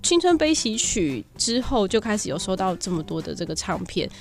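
A woman's voice: mid-range voice, sing-song, fairly quick. A woman with a Taiwanese Mandarin accent, chatting and asking questions as a podcast host. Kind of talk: casual chat, interviewing.

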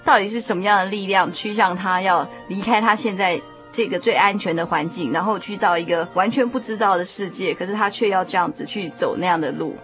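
A woman's voice: medium pitch, brisk, hardly any pauses, fairly bright tone. Woman hosting a discussion over music. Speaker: discussion host. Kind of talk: conversational panel moderating.

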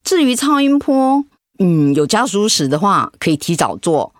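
An actress playing a gentle, hesitant middle-aged mother in a radio drama. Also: mid-range voice, very animated, normal speed, dry recording, clean studio sound, natural voice. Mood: gentle, reassuring. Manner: gentle, hesitant, conversational with soft fillers.

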